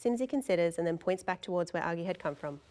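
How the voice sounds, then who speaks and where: A woman's voice: on the low side, animated, fast, mellow. A woman narrator reading stage directions and scene descriptions at a table read.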